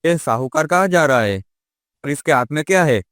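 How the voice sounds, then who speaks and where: A man's voice: a little high, lively intonation, fairly quick, a bit bright. A man playing a poor, humble villager in a cartoon story, speaking in an earnest, anxious way. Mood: uneasy. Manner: respectful, earnest. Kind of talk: Hindi cartoon moral-story dialogue.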